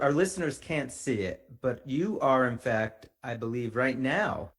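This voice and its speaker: Male podcast host, mid-range voice, conversational, friendly, playful questioning. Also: medium pitch. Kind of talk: conversational interviewing.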